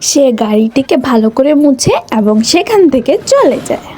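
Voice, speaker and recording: High voiced woman narrating a cartoon story, really animated pitch, noisy recording. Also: normal speed.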